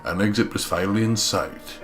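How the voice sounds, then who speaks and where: A man's voice: fairly low pitch, steady tone, brisk, a bit bright, soft background music. A man narrating a visual novel as a let's play co-host, with crude, sweary, mocking humour.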